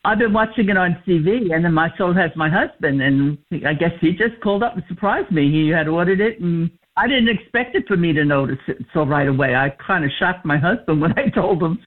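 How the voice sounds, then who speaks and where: A woman's voice: on the low side, brisk, few pauses. A woman giving a cheerful, giggly customer testimonial. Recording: tinny phone line.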